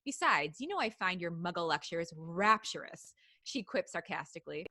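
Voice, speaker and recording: Bright-voiced woman reading fan fiction aloud. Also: lowish voice, expressive, moderate pace, no echo. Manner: reads story aloud with laughs and asides. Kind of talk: live podcast reading and casual chat.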